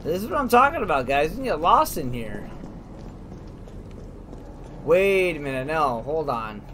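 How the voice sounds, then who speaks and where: A man's voice: a little high, sing-song, measured pace, fairly bright tone. A man giving casual let's play commentary as a gaming host, informally thinking aloud. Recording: clearly noisy, game ambience behind.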